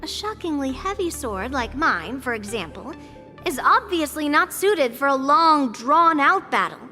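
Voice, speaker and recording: Game role: confident girlish sword fighter, instructive and boastful, high lively pitch, music underneath. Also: a little slow, big-room sound, exaggerated voice. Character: confident young swordswoman, boastful but fair-minded mentor. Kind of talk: video game voice acting.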